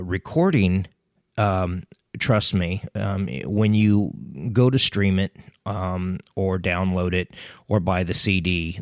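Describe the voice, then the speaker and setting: A middle-aged man hosting a casual solo podcast, talking in a relaxed, rambling way with lots of ums, close to a studio mic. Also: low-pitched, no room sound. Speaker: podcast host.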